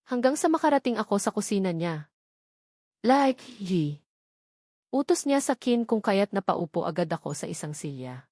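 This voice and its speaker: Casting: female audiobook narrator, medium pitch, dramatic scripted first-person storytelling, dry studio-like sound. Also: sing-song, fairly quick, a little mellow, no echo.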